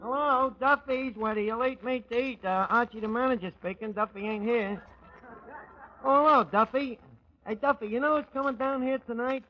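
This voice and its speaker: An actor playing a slangy, wisecracking New York tavern manager in a 1940s radio comedy. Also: male voice, very high-pitched, moderate pace, soft background music. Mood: chatty, wry. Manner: slangy, ungrammatical, sardonic. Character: wisecracking, malaprop-prone male manager of a tavern. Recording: muffled 1940s radio broadcast.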